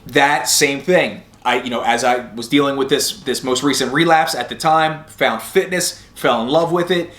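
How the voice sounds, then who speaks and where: A man's voice: medium pitch, a bit bright. Conversational man in a roomy, echoey space. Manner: conversational, candid. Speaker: host of a sobriety podcast and video channel. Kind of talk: casual motivational talk with personal story. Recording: roomy echo.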